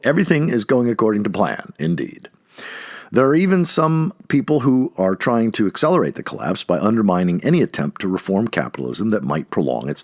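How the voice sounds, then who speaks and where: A man reading an essay aloud like an audiobook narrator, expressively and with deliberate, emphatic phrasing. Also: fairly low pitch, breathy edge, no room sound, clean studio sound.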